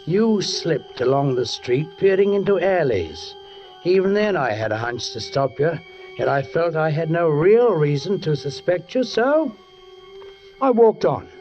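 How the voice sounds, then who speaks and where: An actor playing a suspicious, deliberate police sergeant who narrates a murder case in a 1940s radio drama, with measured, pointed pauses. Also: male voice, fairly high pitch, animated, a little mellow, faint music behind. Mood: grim, ominous. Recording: dull 1940s radio recording.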